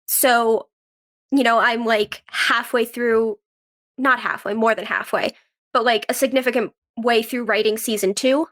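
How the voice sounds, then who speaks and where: A woman's voice: fairly high pitch, fairly even intonation, unhurried, fairly bright tone. A woman speaking informally and reflectively as an interview guest, explaining things through anecdotes. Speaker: podcast guest, writer and actress. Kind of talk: casual interview conversation.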